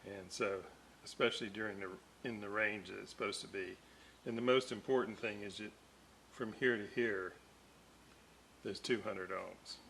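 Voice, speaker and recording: A man restoring an old radio, slowly thinking aloud with lots of fillers as he works at his bench. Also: lowish voice, steady tone, gravelly, a bit bright. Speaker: electronics restorer. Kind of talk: casual workbench narration. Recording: echoey, distant, noisy workshop audio.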